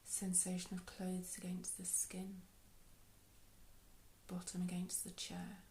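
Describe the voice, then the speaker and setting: A woman leading a guided meditation in a soft, unhurried, soothing way, with phrases trailing off into breath. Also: lowish voice, monotone, a little hiss or hum. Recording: roomy, echoey sound.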